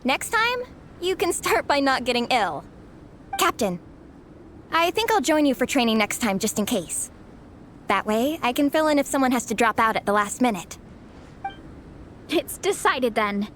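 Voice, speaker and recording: A woman's voice: high voice, very animated, normal speed, pauses often, fairly bright tone, a little hiss or hum. A woman playing an upbeat young female teammate in a video game, with an enthusiastic delivery. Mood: encouraging. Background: background music.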